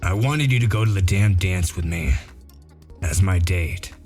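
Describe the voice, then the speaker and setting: A man playing a gruff, world-weary detective in a comic dub, laconic and sardonic. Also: deep voice, a little slow, a little mellow, faint music behind. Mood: sheepish, frank. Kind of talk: scripted comic-dub acting.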